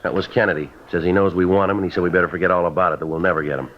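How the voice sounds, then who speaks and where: An actor playing a stoic, businesslike police detective, clipped and matter-of-fact, in a muffled 1950s radio crime drama. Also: male voice, low voice, steady tone, very fast, slight background noise, lightly acted. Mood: grim. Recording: muffled old radio broadcast.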